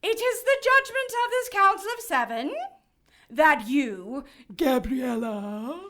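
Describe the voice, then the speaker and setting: A woman's voice: high voice, animated, slow pace, pushed and bright, full character voice. A woman playing a haughty, cruel cherub in an audio drama, speaking in a formal, imperious, gloating manner. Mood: stern, gloating. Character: haughty, cruel female cherub passing judgment for heaven's council.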